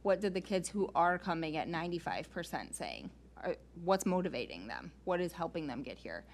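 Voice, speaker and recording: Woman, lowish pitch, earnest explanatory delivery, informal staff presentation, rhetorical questions. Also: very animated, a little fast, a little hiss or hum. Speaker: school staff member presenting to a committee.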